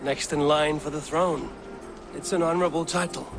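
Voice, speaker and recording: Male actor as steadfast Viking adviser, video game, direct, fairly high voice, clear backing music. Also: fairly even intonation, normal speed, close to an everyday voice. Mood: steady.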